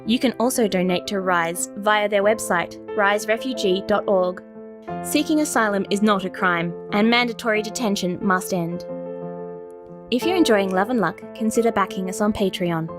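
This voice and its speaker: A woman's voice: mid-range voice, normal speed. Woman reading credits over music. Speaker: credits announcer. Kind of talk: scripted podcast credits read.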